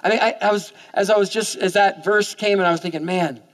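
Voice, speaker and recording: Pastor guy, 40s, kinda high voice, chatty sermon, trips over his words, big hall echo. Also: fast. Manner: animated, conversational, repeats words when starting sentences. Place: church stage. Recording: long hall reverb.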